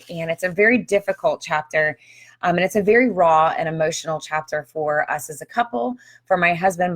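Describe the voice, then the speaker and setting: A woman hosting a casual live video, talking about her book in a chatty, upbeat way with frequent ums, her voice slightly hoarse. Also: lowish voice. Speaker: author and marriage counselor hosting a live video. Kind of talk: casual live-stream chat. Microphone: phone or webcam on a live stream.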